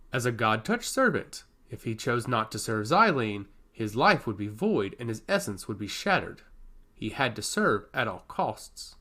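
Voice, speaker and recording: A man's voice: medium pitch, fairly bright tone. A man narrating a scripted fantasy story as an audiobook storyteller.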